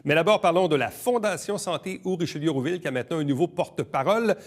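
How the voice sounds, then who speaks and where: A man with a Quebec French accent hosting a local interview show, who asks brisk, teasing questions. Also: fairly high pitch. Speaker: host.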